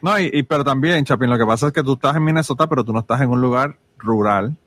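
A man's voice: medium pitch, fast talker, a bit bright, no room sound. Man, casual podcast co-host, salty storyteller. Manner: storytelling, swears freely, many restarts. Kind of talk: casual chat.

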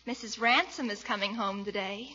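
A woman's voice: mid-range voice, normal speed, pushed and bright. A woman playing a sweet, prim young American niece in a 1940s radio comedy. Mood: knowing. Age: young adult. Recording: muffled old radio recording.

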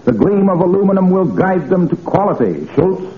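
An eager, chirpy salesman in a vintage radio comedy, gushing as if reciting a pitch. His tone bounces up and down widely. The recording is thin and clearly noisy. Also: very animated, fairly quick, narrow, tinny audio. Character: eager, chirpy male sales talker praising his wares. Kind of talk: old-time radio comedy acting.

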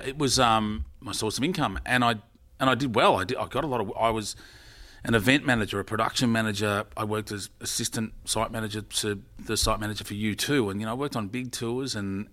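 Interview guest: middle-aged man, lowish voice, casual rambling storyteller who restarts his sentences. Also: sharp tone. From Australia. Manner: rambling, self-correcting storyteller.

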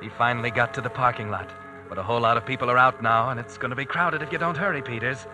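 A man's voice: medium pitch, fast, music in the background, lightly acted. A man acting as a tense inner narrator in a vintage radio drama, speaking in short clipped commands. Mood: urgent. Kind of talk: 1940s radio drama narration, second person.

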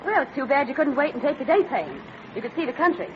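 A courteous young airline hostess in a 1940s radio drama. She speaks in a high voice with polite eagerness. The recording is muffled and faintly noisy. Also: big pitch swings, quick pace.

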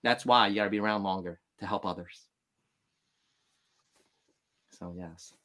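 A man's voice: on the low side, a little slow, sharp tone. A man in his thirties, born in Vietnam, talking as a podcast guest in an earnest, emphatic, motivational way. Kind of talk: candid interview talk.

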